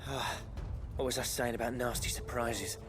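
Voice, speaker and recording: A man's voice: medium pitch, flat delivery, normal speed, music in the background, lightly acted. A male actor playing a plain-spoken, chummy fighter in a video game, wry and colloquial, with a working-class English accent. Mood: wry, urgent. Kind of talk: dramatic game acting.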